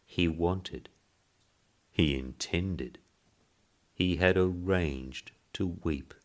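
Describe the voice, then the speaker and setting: A man narrating a literary short story in a measured, deliberate way. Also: low voice. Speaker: story reader.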